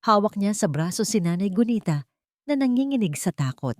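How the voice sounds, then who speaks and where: A woman's voice: mid-range voice, very animated, brisk, a little mellow, no echo, studio quality. A woman reading a dramatic first-person story as scripted narration.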